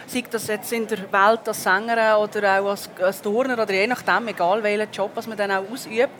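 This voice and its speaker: A woman's voice: mid-range voice, fairly even intonation, fairly quick, a bit bright, slight background noise. A woman in her thirties with a Swiss German accent, a singer answering interview questions in a chatty way with many fillers. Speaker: guest singer.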